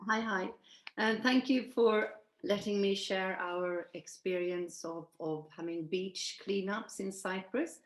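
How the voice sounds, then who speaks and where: Woman, 60s, Swedish-born, measured presenter on a video call, roomy echo. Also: mid-range voice. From Sweden. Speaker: presenter at an online event. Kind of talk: presentation from shared slides.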